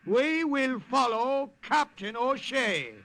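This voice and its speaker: An actor playing a kindly, hearty Irish missionary priest in an old-time radio drama, avuncular and a little hesitant. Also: male voice, extremely high pitch, expressive, takes it slowly, bright, forceful tone, no room sound, faint music behind, exaggerated voice. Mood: commanding. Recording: vintage radio drama recording.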